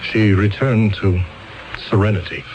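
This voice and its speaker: An actor playing an earnest male lawyer in a 1970s radio drama, speaking in a measured way. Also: fairly low pitch, fairly even intonation, lo-fi sound, noisy background, close to an everyday voice. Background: radio drama music.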